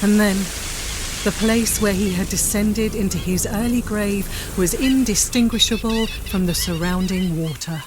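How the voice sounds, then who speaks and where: A woman's voice: mid-range voice, measured pace, music in the background. A woman reading scripted narration for a dramatic audio story.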